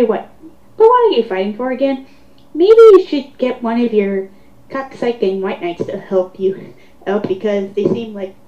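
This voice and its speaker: Woman in a roomy, muffled recording, sarcastic. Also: on the high side. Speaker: host of a dramatic reading. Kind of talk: reading online comments aloud with mocking commentary.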